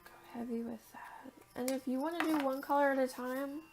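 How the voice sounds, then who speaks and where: A young woman, raspy and tired after a long day, chatting casually as she demonstrates an art journaling technique and sometimes murmuring to herself. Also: high-pitched, fairly even intonation, a little fast. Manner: chatty, thinks aloud while working, drops to a murmur at times. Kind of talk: casual crafting tutorial.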